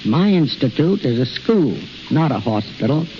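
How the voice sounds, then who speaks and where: A man's voice: mid-range voice, very animated, moderate pace. A middle-aged man speaking formally and precisely as a guest in a scripted 1930s radio interview. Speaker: institute head, guest speaker. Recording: hissy, muffled 1930s radio recording.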